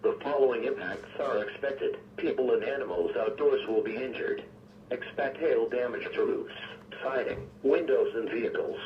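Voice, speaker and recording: A synthetic-sounding man's voice reading formal emergency weather bulletins in a measured, impersonal way, as an automated radio announcer. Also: mid-range voice, recorded from a distance. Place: weather radio broadcast picked up on a receiver. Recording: muffled, noisy, echoey weather radio broadcast.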